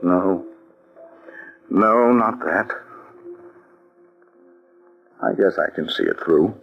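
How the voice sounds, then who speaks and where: An actor playing an anxious, lovesick army colonel in an old radio drama, speaking in an earnest, halting way. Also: male voice, low-pitched, vocal fry, soft background music, heavily acted. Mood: anguished. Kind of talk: 1940s radio acting. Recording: dull lo-fi old radio recording.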